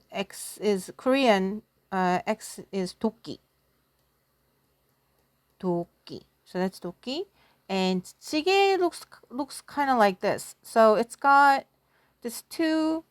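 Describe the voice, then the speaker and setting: A woman's voice: on the high side, no echo. Chatty woman teaching casually, dry close sound. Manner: chatty, self-deprecating, thinking aloud while drawing. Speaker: Korean language teacher. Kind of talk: casual lesson told through a folktale.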